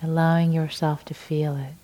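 A middle-aged woman whose first language is Farsi, leading a guided meditation in a slow, soothing way with long pauses. Her breathy voice drifts at times into a whisper. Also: sits low, little pitch movement, dark tone. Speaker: meditation teacher.

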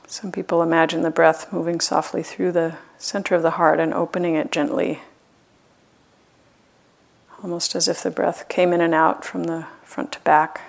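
Female meditation teacher, lower register with noticeable vocal fry, gentle and unhurried, leaving long pauses. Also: little pitch movement. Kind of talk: guided loving-kindness meditation.

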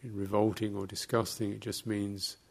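A man giving guided meditation instruction as a teacher, speaking in short, measured phrases with long, halting pauses. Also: lowish voice, level tone, vocal fry, slightly rough.

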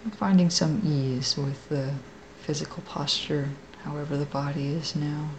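A woman meditation teacher leading a guided meditation in a gentle, soft, creaky, unhurried voice with long pauses. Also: sits low, fairly even intonation, a little hiss or hum, poor audio.

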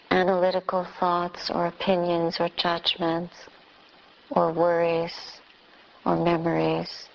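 A woman who is a Buddhist nun leading a guided meditation, speaking in short phrases with long pauses. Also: lowish voice, a little mellow, dull audio.

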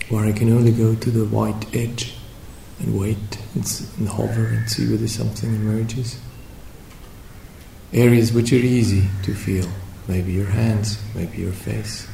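A man leading a guided meditation as a teacher, speaking reflectively in short phrases with long pauses between them. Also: lowish voice, creaky, dark tone. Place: indoor room at a meditation retreat. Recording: roomy echo with faint background noise.

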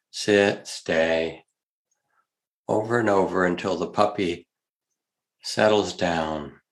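Slow, gravelly, gentle meditation guide. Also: male voice, deep voice. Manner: slow, soft and gentle, with long pauses. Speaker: meditation teacher.

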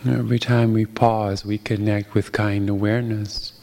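A man guiding a meditation as a teacher, speaking gently and unhurriedly with long pauses. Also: fairly low pitch, little pitch movement, creaky. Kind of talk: guided meditation.

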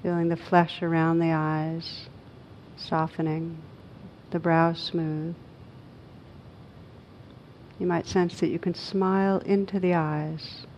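A woman leads a guided meditation in a low voice that stays very even. Her phrasing is gentle and spacious, with short instructions set apart by pauses. The recording is slightly dull. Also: slight background noise. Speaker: meditation teacher.

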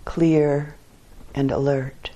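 A woman teaching guided meditation in a calm, measured way, with short phrases and long pauses between them. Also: low-pitched, rough recording.

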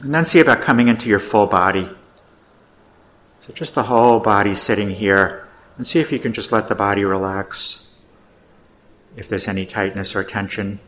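A man teaching meditation, giving gentle, unhurried guided instructions with pauses. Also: on the low side.

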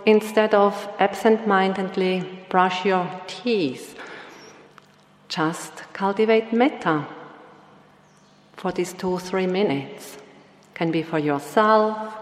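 A woman meditation teacher giving a Dhamma talk with a slow, gentle instructional delivery. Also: medium pitch. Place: meditation hall. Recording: roomy hall with long reverb.

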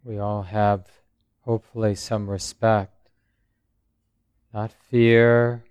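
A man who is a meditation teacher, speaking or chanting slowly and with measured, long pauses. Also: on the low side, little pitch movement, darkish tone, smooth, no echo. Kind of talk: slow chanting and guided meditation talk. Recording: dull, muffled recording.

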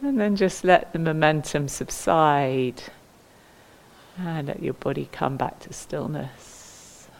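Low-pitched woman guiding mindful movement. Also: creaky. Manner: gentle, unhurried, inviting, with pauses. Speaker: mindful movement and meditation teacher. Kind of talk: guided mindful movement instruction.

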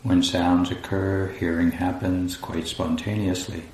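Calm deep-voiced guy leading a meditation, lots of pauses, echoey room w faint rumble. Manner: calm, unhurried, long pauses between phrases. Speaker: meditation teacher. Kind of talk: guided meditation. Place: indoor room at a group retreat. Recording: roomy echo, faint low rumbling noise.

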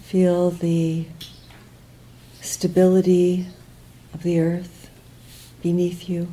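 Very mellow, slow-speaking woman guiding meditation. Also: fairly low pitch, monotone, low-quality recording. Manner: soft, unhurried, with long pauses between short phrases. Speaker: meditation teacher. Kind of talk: guided meditation.

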